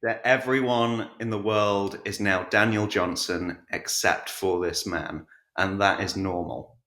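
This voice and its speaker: Man, lowish pitch, casual interview guest, hesitant, self-effacing, noticeable room echo. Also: steady tone, a little slow, bright, forceful tone. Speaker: podcast guest, writer and voice actor.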